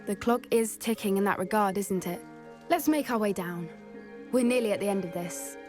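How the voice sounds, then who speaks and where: A woman playing an earnest, gentle teenage witch who loves animals in a video game, speaking articulately and softly eager with a British accent. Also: medium pitch, moderate pace, over music, close to an everyday voice. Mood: tense anticipation. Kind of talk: scripted video game dialogue acting.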